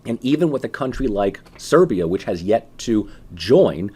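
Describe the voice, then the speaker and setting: A man's voice: fairly low pitch, normal speed, slightly rough. A man giving a political science lecture in a conversational way, full of fillers and rhetorical questions. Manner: animated, acts out voices of ordinary people. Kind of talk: recorded university lecture.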